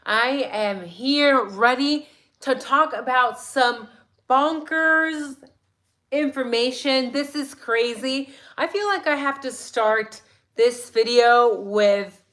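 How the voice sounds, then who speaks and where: A woman hosting a casual true crime livestream, chatty and excitable, her voice a little raspy today. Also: high voice, takes it slowly. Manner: chatty, excitable, many "like"s and "right?"s. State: slightly raspy voice.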